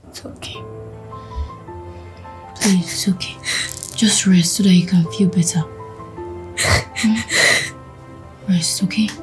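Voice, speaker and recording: A woman playing a soft-spoken young woman who soothes her sister. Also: medium pitch, fairly even intonation, slow pace, pauses often, faint music behind, close to an everyday voice. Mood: soothing. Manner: soft, soothing, often whispered. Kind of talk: web drama acting.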